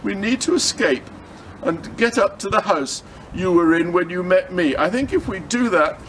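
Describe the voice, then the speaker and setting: Storybook role: earnest worried lad read by a man, raised pitch, urgent delivery, noisy recording. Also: lively intonation, moderate pace, a bit bright. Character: anxious, earnest young lost boy.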